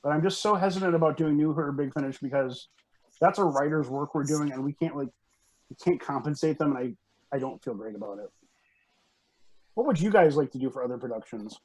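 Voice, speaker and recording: A man's voice: fairly high pitch, a little fast, darkish tone, rough recording. Rambling man in casual panel chat. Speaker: panelist, organizer of fan script readings. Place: remote group video call.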